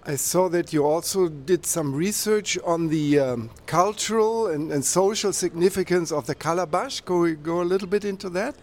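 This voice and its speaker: A man's voice: sits high, moderate pace, hardly any pauses. A man hosting a podcast, speaking in a formal, polite and courteous interview style. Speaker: podcast host.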